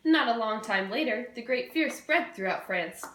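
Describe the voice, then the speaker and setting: A woman's voice: on the high side, expressive, moderate pace, fairly bright tone, reverberant, slight background noise. A young woman presenting a scripted educational puppet show, with playful, informal asides. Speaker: student presenter.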